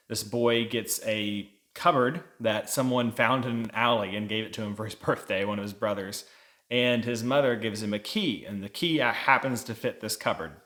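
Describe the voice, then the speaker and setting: A man's voice: fairly low pitch, a bit bright. A man giving a casual, conversational book review, explaining things as a vlog co-host.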